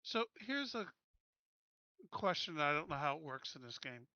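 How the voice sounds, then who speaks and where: A man's voice: on the high side, sing-song, normal speed, pushed and bright, no room sound, muffled recording. A man playing a tabletop roleplaying game, talking casually and asking about moves and rules.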